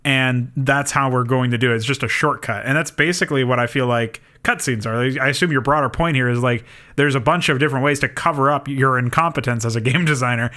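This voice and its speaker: Bright-voiced man explaining casually. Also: mid-range voice, a little fast, hardly any pauses. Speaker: podcast co-host. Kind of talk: casual analytical discussion.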